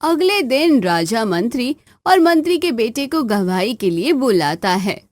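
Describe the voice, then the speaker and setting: A woman's voice: on the high side, very animated, unhurried, no echo. A woman narrating a scripted cartoon story.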